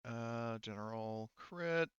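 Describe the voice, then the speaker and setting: A man speaking casually and haltingly, with some swearing, as game master of an online tabletop roleplaying game. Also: medium pitch, dull audio, background noise.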